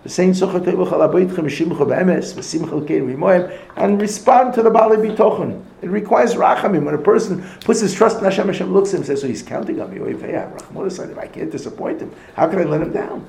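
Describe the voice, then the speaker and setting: A man teaching a Torah lesson in a conversational, animated way, mixing in Hebrew and Yiddish terms. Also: sits high, quick pace, vocal fry, few pauses, echoey room, low-quality recording. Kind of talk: Torah lecture.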